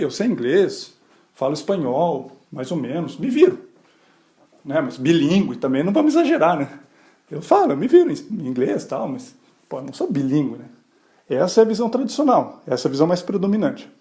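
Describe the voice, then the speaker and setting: Male podcast host from Brazil, slightly high, warm mellow voice, chatty explainer. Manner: conversational, rhetorical questions, frequent "né?" tags; brief voiced asides acting out other people. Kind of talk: explanatory solo podcast talk.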